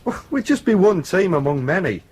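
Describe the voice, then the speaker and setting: A junior police detective, sceptical and teasing, in a radio drama. His voice is on the high side and husky, swinging up and down in casual, colloquial talk. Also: sing-song, a little fast, a little mellow, exaggerated voice. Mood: teasing, doubtful.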